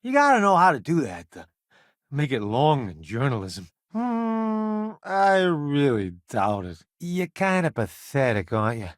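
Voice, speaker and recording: A man's voice: on the high side, huge pitch swings, measured pace, no room sound, clean studio sound. An actor playing a brash, self-assured middle-aged food journalist turned crewman in a video game, with a wry, theatrical delivery. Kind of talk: video game companion voice lines.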